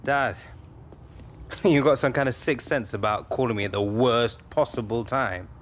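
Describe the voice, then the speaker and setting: A man's voice: mid-range voice, a little slow, a bit bright, slight background noise, natural voice. A man acting a young British footballer, hurt and proud, in a cinematic video game. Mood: wry, weary. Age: young adult.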